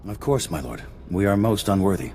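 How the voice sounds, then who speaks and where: An awed, deferential follower in a video game. The deep voice moves expressively, in a long hall reverb with some noise. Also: male voice, very animated, brisk, dark tone. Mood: reverent, pleading. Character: humble, awed male cult follower. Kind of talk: video game dialogue acting.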